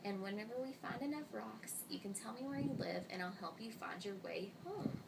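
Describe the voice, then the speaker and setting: Storybook schoolboy read by a woman, earnest and friendly, lively intonation, creaky edge. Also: mid-range voice, normal speed. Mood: friendly, curious. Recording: distant mic, loud noise.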